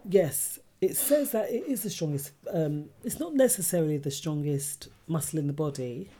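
A woman's voice: low voice, lively intonation, normal speed, warm tone, slight background noise. A woman hosting a radio chat show, talking casually and reading out facts.

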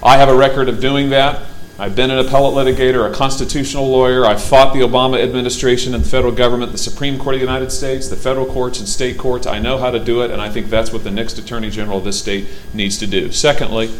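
A man's voice: medium pitch, level tone, fast talker. Guy running for office, punchy emphatic speech, faint hiss behind. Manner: forceful, emphatic stump-speech delivery. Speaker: political candidate. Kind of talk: campaign forum speech.

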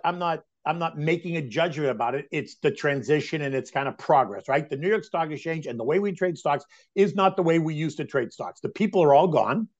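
Excitable man in his sixties, high-pitched. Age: 60s. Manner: emphatic, excitable market talk. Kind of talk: casual chat. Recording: dull lo-fi sound.

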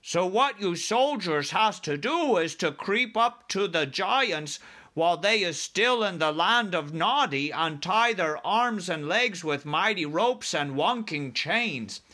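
Man playing a big excitable giant with muddled words. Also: high-pitched, slow, voice pushed up, full character voice. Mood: earnest explaining. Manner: mangled grammar and muddled made-up words. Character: big friendly male giant, simple, earnest, excitable. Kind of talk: storybook character voice.

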